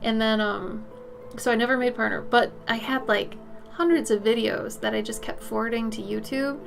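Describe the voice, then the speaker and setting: A woman in her late thirties talks casually with her stream chat while she paints, frank and rambling, her voice sitting a little high. Soft music runs faintly behind her. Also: steady tone, moderate pace, gravelly. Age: 30s. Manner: chatty, reads and answers chat, frank and loose.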